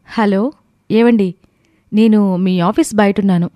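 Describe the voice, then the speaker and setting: A woman playing a thoughtful, protective young wife in an audio story, speaking in an earnest, measured way. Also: medium pitch, fairly even intonation, mellow, dry recording, close to an everyday voice. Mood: calm, earnest. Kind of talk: scripted audio story dialogue.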